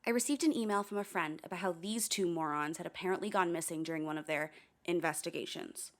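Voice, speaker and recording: A woman's voice: lowish voice, fast, close to an everyday voice. An actress playing a blunt, sardonic female coast guard officer who narrates her own investigation in a radio drama. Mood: dry, uneasy. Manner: dry, curt, sardonic.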